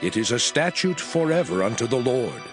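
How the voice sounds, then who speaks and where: Solemn man reading scripture, lively pitch. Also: over music. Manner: solemn, measured reading of archaic text. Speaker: Bible narrator.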